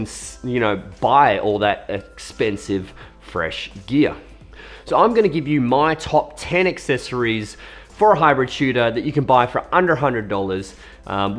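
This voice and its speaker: A young Australian man talking casually to camera about his camera gear, a little hoarse from a cold, chatty and upbeat. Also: medium pitch. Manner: chatty, upbeat, with fillers and asides. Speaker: video creator reviewing camera gear.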